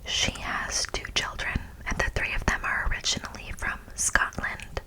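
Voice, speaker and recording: An American woman doing ASMR, reading an informative speech in a hushed, deliberate manner with playful asides to the listener. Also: low-quality recording. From United States. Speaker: ASMR host.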